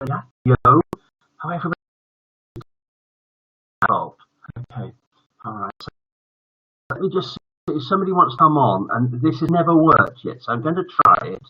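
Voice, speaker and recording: Husky, rambling older man. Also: fairly high pitch, lively intonation, normal speed, pauses often. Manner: rambling, jokey, often trailing off. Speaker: host of a children's bedtime story livestream. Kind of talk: casual livestream chat with viewers. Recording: dull lo-fi livestream audio.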